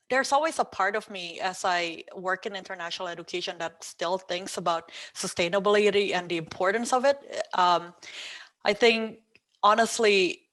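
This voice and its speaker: A woman, a podcast co-host talking about her own path in a casual interview, thoughtful and pausing often with ums. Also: mid-range voice, fairly bright tone. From Indonesia. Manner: thoughtful, hesitant with frequent ums.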